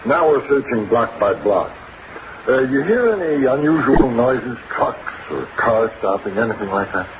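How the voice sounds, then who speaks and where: The sound is thin, hissy vintage radio. A male actor plays an easygoing patrol policeman with a medium-pitched voice, in crime drama style. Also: lively intonation, moderate pace, natural voice. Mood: businesslike. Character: easygoing patrol cop questioning a neighbor. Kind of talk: 1950s radio drama acting. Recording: tinny, noisy old radio broadcast.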